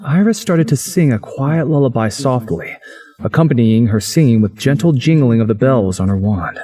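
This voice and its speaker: A man's voice: medium pitch, animated, moderate pace, dark tone, soft background music, natural voice. A man playing a cocky, sceptical young man who narrates a visual novel game with dramatic flair. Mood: narrating.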